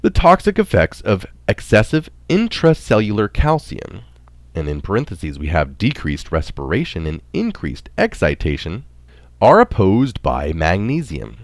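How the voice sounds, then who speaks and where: A man reading a scripted health article aloud as narration, in a deliberate, explanatory manner. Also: lowish voice, wildly swooping pitch, no room sound.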